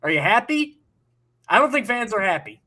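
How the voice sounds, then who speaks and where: Bright, blunt man with a higher voice. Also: sing-song, moderate pace. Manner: blunt and sarcastic. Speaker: sports analyst and podcast co-host. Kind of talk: casual sports analysis chat.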